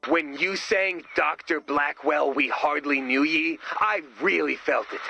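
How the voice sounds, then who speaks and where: A man's voice: a little high, a little slow, gravelly, pushed and bright, dull audio, slight background noise, heavily acted. A man playing a naive, good-natured, goofy sidekick in a video game, earnest and guileless, with a radio effect. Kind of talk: video game character voice acting.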